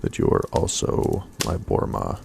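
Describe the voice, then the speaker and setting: A man voicing a devoted young warrior woman in a storybook, pleading earnestly in a hushed voice. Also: unhurried, slight background noise, lightly acted. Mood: anguished devotion.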